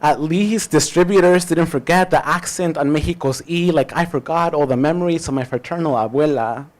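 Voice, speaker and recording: A man with a slightly high voice performs spoken-word poetry. He shapes each phrase deliberately, leaning on key words with emphasis. Pauses between phrases give the reading a dramatic weight. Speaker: poet.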